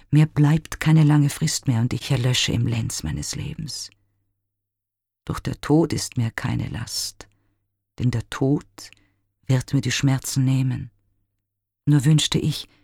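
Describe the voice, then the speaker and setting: Despairing lovesick youth read by a man: husky, creaky, dry close sound, unhurried pleading. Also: on the high side, darkish tone, no room sound, full character voice. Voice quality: breathy, whispering at times. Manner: slow, intimate, mournful pleading. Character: young man, lovesick for his own reflection, despairing.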